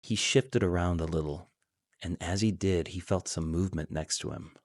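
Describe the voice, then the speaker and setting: A man's voice: low voice, normal speed, darkish tone, dry recording. A man reading scripted fan fiction as the narrator, telling the story in prose.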